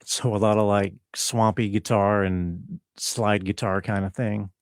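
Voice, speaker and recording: A man speaking as an interview guest, explaining his work in a casual, laid-back, slightly halting way. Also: fairly low pitch, little pitch movement, clean tone, no room sound. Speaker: interview guest, film composer.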